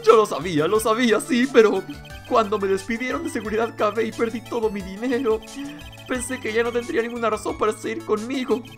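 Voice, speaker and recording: A man's voice: very high-pitched, a little fast, soft background music. A man voicing a timid, despondent young husband in a game, hesitant and stammering. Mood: despairing.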